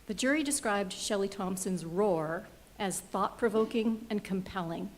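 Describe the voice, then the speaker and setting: A soft-spoken woman presenting an award, reading out nominees and jury remarks. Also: mid-range voice, a little slow, a little hiss or hum, rough recording.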